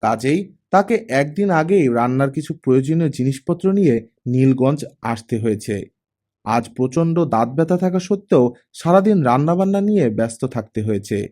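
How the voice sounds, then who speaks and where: A man's voice: medium pitch, expressive, moderate pace, warm tone. A man reading a story as an audiobook narrator, in a dramatic scripted storytelling style.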